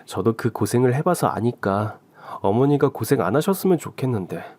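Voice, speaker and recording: A man's voice: mid-range voice, expressive, brisk, a little mellow, no echo. A man narrating a scripted first-person story for a radio-style story show.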